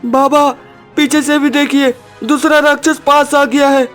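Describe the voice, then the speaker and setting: A man's voice: very high-pitched, little pitch movement, a little slow, a bit bright, soft background music, pitched above the performer's own voice. A man voicing a frightened young boy in a cartoon story, anxious and pleading with devotion. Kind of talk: dramatic cartoon story acting.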